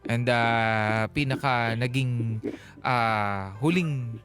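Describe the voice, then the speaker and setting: A man's voice: mid-range voice, extremely slow, a bit bright. A Filipino man co-hosting a radio advice show, talking conversationally and mixing some English into his Tagalog. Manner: code-switches between Tagalog and English. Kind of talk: conversational radio advice, reading listener comments. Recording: music playing behind the voice. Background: background music.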